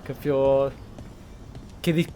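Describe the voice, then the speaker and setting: A man with an Italian accent giving casual, halting gameplay commentary as a streamer. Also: mid-range voice, little pitch movement, mellow, slight background noise.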